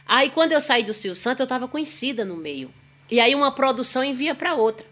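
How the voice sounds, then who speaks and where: A woman's voice: high-pitched, expressive, fast, fairly bright tone. A woman, a singer and podcast guest telling long, rambling stories in a chatty, self-deprecating way. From Northeast Brazil. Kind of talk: casual storytelling chat.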